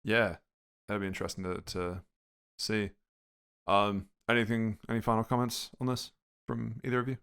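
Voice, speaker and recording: A man with a New Zealand accent hosts a relaxed gaming podcast. His voice sits low but comes across bright and sharp, with smooth, crisp diction. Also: normal speed. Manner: laid-back, reads listener questions aloud. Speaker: podcast host. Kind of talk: casual podcast chat.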